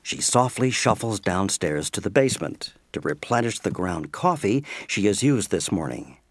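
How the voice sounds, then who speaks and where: A man with a husky voice presenting and narrating a scripted audio drama in a deliberate, theatrical storytelling manner. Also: lowish voice, slight background noise.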